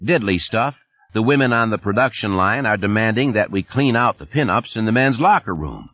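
A man's voice: lowish voice, lively intonation, fairly quick, voice pushed up. A man reading an audiobook, putting on a pushy, folksy Texan plant supervisor with a twang. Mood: needling. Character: brash drawling Texan male plant supervisor. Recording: dull lo-fi audiobook recording.

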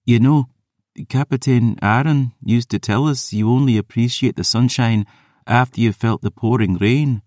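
A man's voice: mid-range voice, fairly even intonation, a little slow, dry recording, studio quality, pitched above the performer's own voice, lightly acted. A man voicing a grief-stricken young maid, trembling and then breaking into anguished outbursts. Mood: mournful. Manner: trembling, turning to anguished shouting.

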